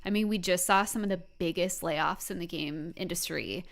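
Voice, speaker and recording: A woman's voice: lowish voice, normal speed, vocal fry, fairly bright tone. A woman hosting a casual interview, speaking informally and sympathetically with hesitant phrasing. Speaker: interviewer, host. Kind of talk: casual chat.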